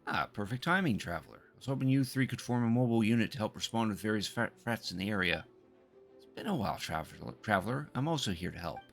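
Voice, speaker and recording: Low-voiced man streaming a game. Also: animated, fast, vocal fry, a bit bright. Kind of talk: reading game dialogue aloud and commenting on a live stream. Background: game music.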